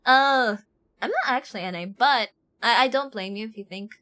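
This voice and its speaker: Higher-voiced young woman chatting on stream. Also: normal speed, pushed and bright. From Ireland. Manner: informal, slangy, chatty, self-deprecating. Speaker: game streamer. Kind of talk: casual live stream chat. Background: game music.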